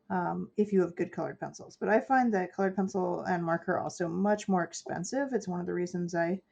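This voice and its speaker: A woman's voice: mid-range voice, vocal fry, a little mellow, lo-fi sound. A woman with a stuffy nose from a cold, chatting casually and explaining her steps as she paints for a live stream. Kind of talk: casual chat while painting.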